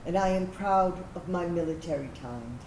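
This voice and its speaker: A woman's voice: on the low side, normal speed, darkish tone, lightly acted. A woman giving a scripted staged reading as a proud veteran who served in secret. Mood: earnest. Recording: echoey room, background noise.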